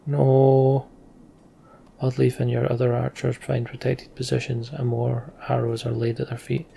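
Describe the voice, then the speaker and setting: A man's voice: mid-range voice, monotone, measured pace, vocal fry, mellow, breathy edge. A man giving casual, muttered gameplay commentary and reading story text aloud, as a let's play host.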